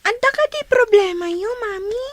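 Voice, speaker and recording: A woman's voice: extremely high pitch, moderate pace, no echo. A woman voicing a small, dutiful, concerned child in a radio drama, with an Ilocano accent. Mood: worried.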